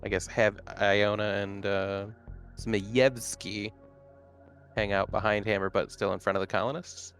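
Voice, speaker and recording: A man with a fairly low voice chats as a player in a tabletop game. He is casual and somewhat tentative, working out his thoughts as he speaks. Faint music runs behind the talk. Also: steady tone, a little slow, fairly bright tone. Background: music under the voice.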